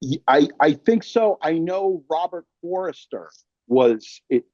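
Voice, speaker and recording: A man's voice: a little high, lively intonation, a little slow, a little mellow, dry recording, highs cut off. A man speaking as a podcast host in casual, emphatic interview chat, full of fan enthusiasm and loose swearing.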